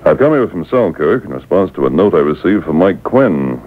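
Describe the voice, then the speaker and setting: A resolute Mountie sergeant in an old radio serial. His voice is very deep and moves in an animated way. The sound is thin and phone-like, as if taped off a radio. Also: a little fast, a little hiss or hum. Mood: firm, businesslike. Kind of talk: old-time radio drama acting. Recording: tinny old radio broadcast taped on boombox.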